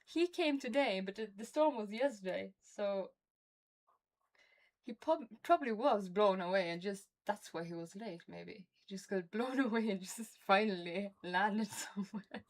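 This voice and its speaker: A woman's voice: mid-range voice, moderate pace. Rambling Norwegian woman streamer. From Norway. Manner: rambling and chatty, laughs often. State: has a toothache. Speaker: game streamer. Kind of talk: casual chat while streaming a game.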